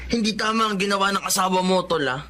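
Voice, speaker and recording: Scolding brother, blunt,, very high bright voice. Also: male voice, fast talker, a little hiss or hum.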